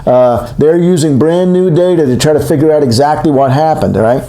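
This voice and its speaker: A man's voice: on the high side, a little fast, warm tone. A man in his sixties giving a recorded economics lecture, talking in a loose, conversational way and often correcting himself mid-sentence. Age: 60s. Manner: rambling, self-correcting, conversational. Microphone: recorded for a video lecture. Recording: echoey room.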